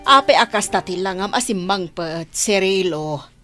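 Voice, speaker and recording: Woman playing an animated, fussing village mother. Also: medium pitch, unhurried, faint music behind. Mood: concerned, prying. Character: fussing, opinionated village mother of a grown son. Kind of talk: radio drama acting.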